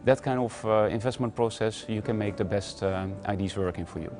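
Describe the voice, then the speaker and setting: A man talking about emerging market investing in a corporate interview, steady, with frequent "uh" pauses between phrases. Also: on the low side. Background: faint music.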